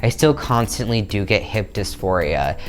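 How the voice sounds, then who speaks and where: A man's voice: fairly low pitch, moderate pace, faint music behind. A young man in his twenties talking candidly to camera in a casual vlog, recorded on a lapel mic in a small bedroom. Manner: upbeat and candid, animated hand gestures. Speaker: vlogger. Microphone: clip-on lapel mic.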